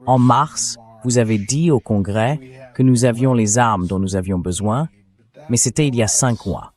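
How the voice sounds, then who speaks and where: A man's voice: mid-range voice, moderate pace, a little mellow. An AI-voiced man speaking as a talk show host, giving dubbed political commentary. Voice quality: synthetic AI voice.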